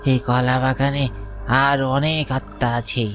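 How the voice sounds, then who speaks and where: A woman voicing the eerie, accusing ghost of a dead young cousin in a horror cartoon, with a menacing, deliberate manner. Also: rumbling low, little pitch movement, faint music behind. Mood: vengeful, eerie.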